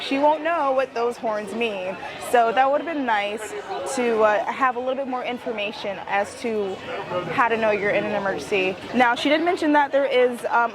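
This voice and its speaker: A woman talking casually to camera as a vlog host, chatty and slangy as she explains things. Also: a little high. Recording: background music, busy crowd outdoors.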